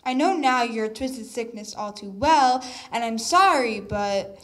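A teenage young woman with a fairly high voice performs spoken-word poetry. She speaks as a student poet into a stage microphone indoors. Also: lively intonation, measured pace, a bit bright. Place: indoor event room, on stage. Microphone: stand microphone. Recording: live stage microphone in a room.

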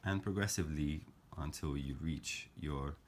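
A man calmly explaining a paper craft step by step, in a gentle, measured way with frequent fillers. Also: very deep voice, slight background noise. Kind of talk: calm step-by-step craft tutorial.

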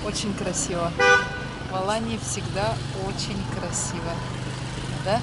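A woman vlog host narrating a relaxed walking tour to her viewers, outdoors at night on a handheld camera. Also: medium pitch, a little slow. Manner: relaxed guide-like commentary, speaking to viewers as friends. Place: outdoors on a city street at night. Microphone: handheld action camera. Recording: street noise behind.

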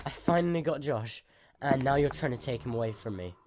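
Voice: medium pitch, a little fast, very noisy, lightly acted. A young-sounding performer playing an anguished, protective parent afraid of losing a son, in an improvised game roleplay. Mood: pleading, accusing. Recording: loud music over voice.

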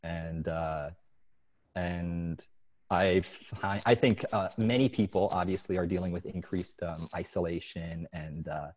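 A man's voice: low voice, fairly even intonation, unhurried, a little mellow. A young man speaking as an author at an online reading of his short story, recorded on an earphone mic at home. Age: young adult. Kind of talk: informal talk and story reading at an online event. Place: living room at home, video call. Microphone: wired earphone mic on a video call.

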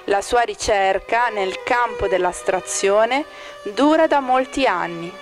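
A woman reading a scripted art-exhibition commentary in a measured, formal manner. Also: mid-range voice, faint music behind. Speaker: exhibition narrator. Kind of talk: scripted art-critic narration.

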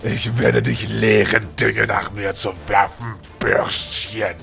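Gravelly, power-mad monster nobleman. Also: fairly low pitch, little pitch movement, slow, sharp tone, soft background music, exaggerated voice. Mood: furious, scornful. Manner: menacing, sneering, bellowing. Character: monstrous, power-mad old nobleman turned hulking fiend. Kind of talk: fantasy audio drama acting.

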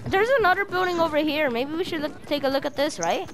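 A female player chatting in improvised game roleplay, excitable and bossy, on a home gaming mic. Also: high voice, fast talker, slight background noise.

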